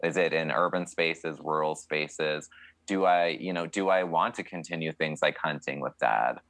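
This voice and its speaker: A man's voice: very deep voice, normal speed, a bit bright, dry recording. A young man from Canada, a country singer, answering interview questions in a chatty, candid way with many fillers.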